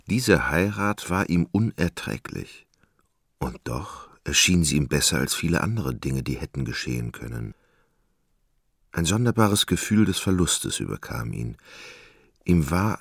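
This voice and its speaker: A man's voice: very deep voice, creaky, no echo, heavily acted. A man narrating a literary radio drama in a scripted, storytelling style. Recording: studio voice over loud music.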